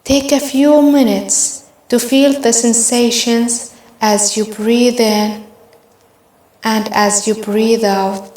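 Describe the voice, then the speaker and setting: Slow, echoey woman guiding meditation. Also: a little high, steady tone. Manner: gentle, measured instructional delivery. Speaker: meditation guide. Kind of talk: guided meditation narration. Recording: roomy, echoing voice recording.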